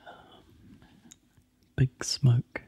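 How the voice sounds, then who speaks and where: A man with a New Zealand accent, whispering softly in ASMR style with frequent ums. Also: extremely slow.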